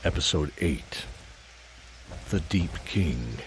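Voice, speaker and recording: A man narrating a scripted fantasy audio drama in a deliberate, atmospheric storytelling style. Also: extremely low pitch, expressive, noisy background.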